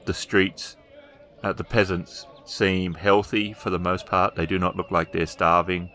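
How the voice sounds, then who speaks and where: A man narrating a tabletop role-playing game as storyteller, improvising descriptions with hesitant pauses. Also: deep voice, little pitch movement, bright, forceful tone.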